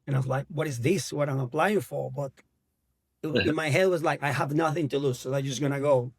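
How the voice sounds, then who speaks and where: Venezuelan man, earnest halting English. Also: fairly high pitch, gravelly, husky. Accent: Venezuelan Spanish. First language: Spanish. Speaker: actor guest. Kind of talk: casual interview.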